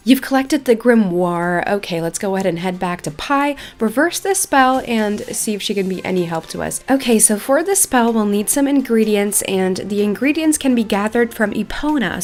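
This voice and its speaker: High-pitched, chatty woman narrating a game. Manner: chatty, enthusiastic. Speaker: host of a gaming channel. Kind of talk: excited game playthrough narration with casual chat.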